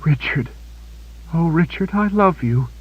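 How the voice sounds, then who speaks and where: A man's voice: a little high, animated, a little slow, breathy edge, dull audio, voice pushed up. A male narrator voicing a wounded, desperate young woman who pleads with breathless panic. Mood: tearful.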